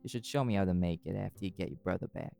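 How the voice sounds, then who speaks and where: A man's voice: deep voice, rapid-fire, vocal fry, loud music behind the voice. A man playing a cool, wry, flirty magical private eye in an intimate audio roleplay, teasing dryly and swearing casually.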